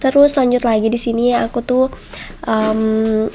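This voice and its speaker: Woman doing casual vlog voiceover, blocked nose from flu, pretty monotone, bit of background noise. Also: fairly high pitch, measured pace. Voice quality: nasal, stuffy. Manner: chatty, conversational, addresses viewers as friends. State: congested from flu. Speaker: homemaker vlogger.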